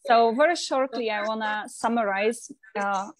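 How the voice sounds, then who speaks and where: A woman's voice: fairly high pitch, moderate pace, no echo, poor audio. A woman from Poland with a Polish accent, earnestly telling her life story as an interview guest.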